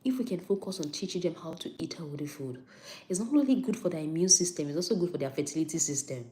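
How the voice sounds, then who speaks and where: Low-voiced Nigerian woman chatting. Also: animated, quick pace, mellow. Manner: chatty, repeats points for emphasis, answers viewer comments. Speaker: health talk host on a live stream. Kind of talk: casual live-stream health talk.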